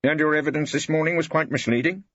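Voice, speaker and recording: A man's voice: a little high, fast talker, a bit bright, no room sound, lo-fi sound, voice pushed up. A man playing a shrewd, combative old English barrister in an audiobook, insistent and given to pompous rhetorical flourishes. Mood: needling, rhetorical.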